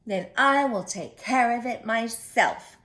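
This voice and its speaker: A woman voicing an industrious, self-reliant little hen in a storybook, earnest and deliberate. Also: fairly high pitch, fairly bright tone. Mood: earnest, determined. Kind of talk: storybook character voice.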